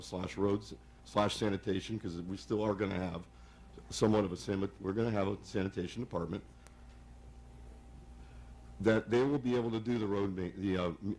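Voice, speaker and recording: A hoarse man speaking haltingly at a village commission meeting, often repeating words. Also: fairly low pitch, a little mellow. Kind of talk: public meeting remarks.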